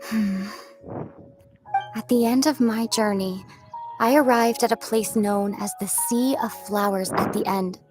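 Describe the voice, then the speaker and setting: A woman's voice: medium pitch, steady tone, unhurried, over music, close to an everyday voice. A woman playing a solemn, wistful young long-lost sister in dramatic game voice acting.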